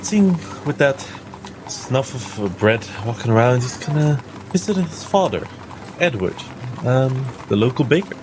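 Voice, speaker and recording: A man's voice: mid-range voice, lively intonation, measured pace, background noise. A man playing a tabletop game, describing what his character does. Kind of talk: narrating own character's actions in roleplay. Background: music.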